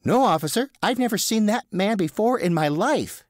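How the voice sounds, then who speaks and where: A man's voice: sits high, animated, moderate pace, no echo, clean studio sound, voice pushed up. A man voicing a nervous, evasive man who fumbles and is overly polite while bluffing. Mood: flustered.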